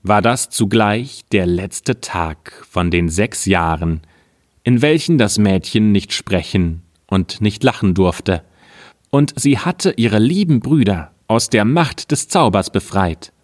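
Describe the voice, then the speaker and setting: A man reads an old fairy tale aloud in a calm, measured way. He takes his time, and his voice sits a little low. The sound is dry and close, with no room echo. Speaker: storyteller.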